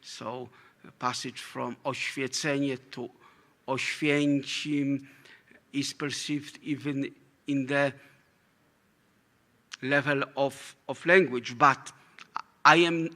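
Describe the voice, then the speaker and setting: A man's voice: mid-range voice, fairly even intonation, takes it slowly, pauses often, pushed and bright. A man from Poland with a Polish accent, a native Polish speaker, reading a prepared academic lecture in English with occasional slips and self-corrections.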